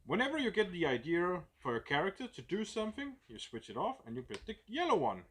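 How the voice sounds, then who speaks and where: A man's voice: high-pitched, huge pitch swings, fast, fairly bright tone. Danish-accented man explaining a game idea. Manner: thinking aloud, searching for words in English. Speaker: game designer. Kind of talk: casual explanation of a game prototype.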